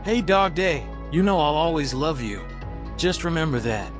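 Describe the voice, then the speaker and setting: A man's voice: on the high side, lively intonation, moderate pace, lightly acted. A man voicing a tender, devoted, heartbroken cartoon cat in a comic dub, with music underneath. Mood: tender, sad.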